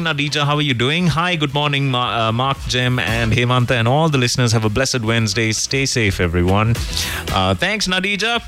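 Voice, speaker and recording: A man's voice: mid-range voice, a bit bright. A man hosting a morning radio show, chatting casually and reading sponsor messages with a joking, rambling manner. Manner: jokey, rambling, self-correcting. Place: radio studio.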